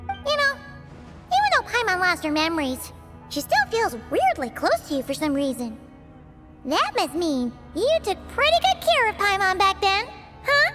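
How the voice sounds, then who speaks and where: Small childlike game sidekick, squeaky, very high-pitched, lively intonation, excitable, loud background music. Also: female voice, unhurried, full character voice. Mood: dismayed, hopeful. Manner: refers to self in third person, exclamatory. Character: small childlike female floating companion, excitable and naive. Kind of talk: video game cutscene acting.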